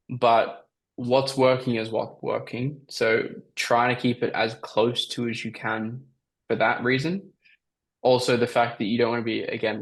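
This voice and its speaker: A man with a slightly raspy voice, coaching on a call and giving casual, emphatic advice as a sales coach. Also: medium pitch, poor audio. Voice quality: raspy from talking all day. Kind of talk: coaching call, answering questions.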